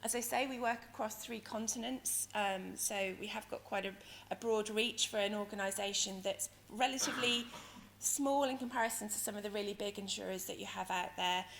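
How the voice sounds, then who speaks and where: Woman, croaky hoarse voice, medium pitch, conference presentation, hesitant wordy explaining, noisy venue ambience. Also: fairly quick, a bit bright. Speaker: insurance company director presenting at a conference. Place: conference venue in London, speaking to an audience.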